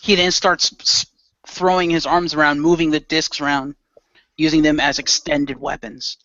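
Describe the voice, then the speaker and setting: Man, fairly high voice, casual halting action narration, improvised, dry muffled recording. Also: little pitch movement, bright, forceful tone, no room sound. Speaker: radio play performer.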